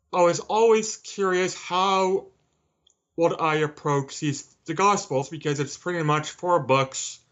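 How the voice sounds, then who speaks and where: A man answering as an interview guest, measured and wry in his manner. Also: on the high side, a bit bright, dull audio. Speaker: author, podcast guest.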